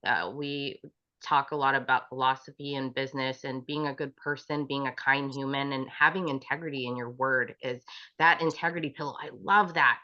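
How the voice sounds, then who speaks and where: A woman speaking as a panel guest, gushing and quick, full of "like" and restarts. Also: extremely low pitch, steady tone. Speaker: panel guest, business owner. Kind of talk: casual interview talk. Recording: dull lo-fi recording.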